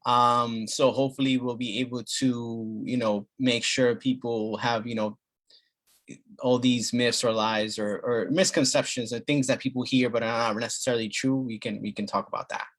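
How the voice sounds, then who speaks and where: Man, family doctor from the Dominican Republic, mid pitch, halting delivery full of fillers, easy community health talk. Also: steady tone, a bit bright. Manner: halting, many fillers and restarts.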